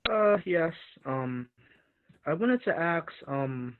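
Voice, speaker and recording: Slow-talking man on a hissy line. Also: on the high side, lively intonation. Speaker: interviewer asking a question. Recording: phone-like call line with hiss.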